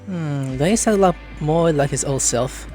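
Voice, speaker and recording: A woman's voice: deep voice, normal speed. A woman hosting a gaming video with casual, slangy, reactive commentary. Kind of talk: casual let's play commentary. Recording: faint game music behind.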